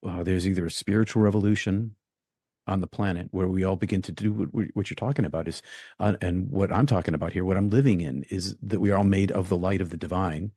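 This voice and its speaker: A very deep, mellow male voice from the United States, recorded dry and close. He talks as an interview guest in a reflective, rambling way, with frequent ums and restarts as he works through his thoughts. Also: steady tone, fast, breathy edge, no room sound. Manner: rambling, thinks aloud with many ums and restarts.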